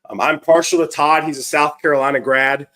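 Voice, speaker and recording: A hoarse man, talking upbeat and chatty on his live stream, full of ums and restarts. He sounds like he's on a desk mic over a video call. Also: on the high side, fairly even intonation, a little fast, sharp tone. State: hoarse from a cold. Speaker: livestream show host. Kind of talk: casual live-stream chat.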